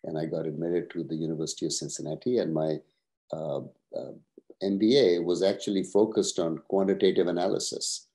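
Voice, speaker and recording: A man in his sixties, originally from Pakistan, answering interview questions over a video call at a measured pace, with many "uh" pauses. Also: low-pitched, steady tone, dark tone. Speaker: guest, professor and retired executive.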